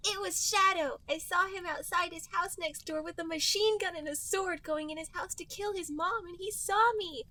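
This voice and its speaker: A very high-pitched, distressed cartoon hedgehog girl, acted by a woman in an amateur reading. The delivery is theatrical and slightly halting, and the voice sounds a little distant from the mic. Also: sharp tone. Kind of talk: amateur dramatic reading of fan fiction.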